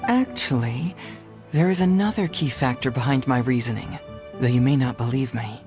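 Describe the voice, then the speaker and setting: An actor playing a confident, free-spirited young male detective in a video game, reasoning in a measured, deliberate way. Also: mid-range voice, over music, lightly acted. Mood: thoughtful, assured. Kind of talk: video game character dialogue.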